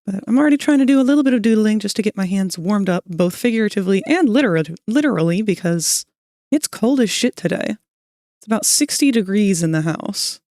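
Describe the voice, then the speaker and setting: A woman's voice: medium pitch, expressive, brisk, a little mellow, no room sound, studio quality. A woman streamer chatting casually with her viewers while sketching, her voice a little scratchy, greeting people by name and laughing often. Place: cold room at home.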